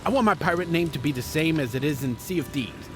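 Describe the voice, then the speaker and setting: A man's voice: a little high, animated, fast talker, music in the background. A middle-aged man hosting a show in casual family banter. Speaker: show host, father joining his kids in a skit.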